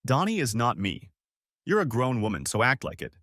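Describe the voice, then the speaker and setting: A man's voice: mid-range voice, huge pitch swings, moderate pace, fairly bright tone, no room sound, clean studio sound. A man reading a story aloud, voicing a stern middle-aged mother who scolds her grown daughter bluntly. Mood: scolding.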